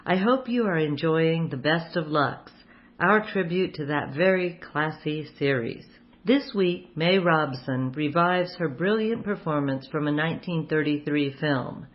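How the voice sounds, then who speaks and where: A woman's voice: sits low, a little slow. A woman hosting a radio program, reading a scripted introduction. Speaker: radio show host. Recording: dull lo-fi sound.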